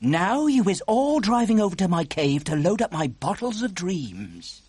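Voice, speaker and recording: A woman voicing a big, kindly old male giant who talks slowly in broken grammar. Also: on the low side, big pitch swings, darkish tone, husky, no room sound. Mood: gentle. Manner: slow, ungrammatical giant speech.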